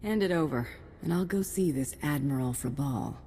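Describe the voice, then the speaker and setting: A woman playing a cocky, principled, dry-witted alien bounty hunter in a video game, with a husky voice. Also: low-pitched, animated, normal speed, dark tone, long reverb tail, a little hiss or hum. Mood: firm.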